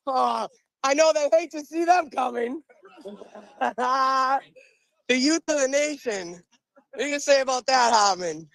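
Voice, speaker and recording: A woman with a Boston accent and a deep voice, chatting casually and rambling as a livestream host, laughing often, on a phone outdoors. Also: slow pace, gravelly, pauses often, dry recording, low-quality recording. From Boston area.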